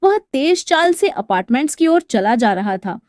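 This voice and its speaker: Woman narrating a scripted story, kinda high voice, no room echo. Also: big pitch swings, moderate pace, clean studio sound.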